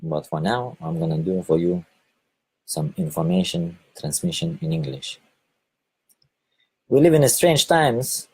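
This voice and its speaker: A man from Lithuania with a Lithuanian accent, giving a halting spoken monologue and often repeating or correcting his words. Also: sits low, lively intonation, creaky, mellow, husky, rough recording. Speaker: online video host.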